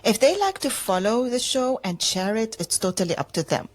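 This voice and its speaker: Rambling, thoughtful woman, lively pitch. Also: normal speed. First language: not Dutch, not English. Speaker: podcast co-host. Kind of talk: casual chat.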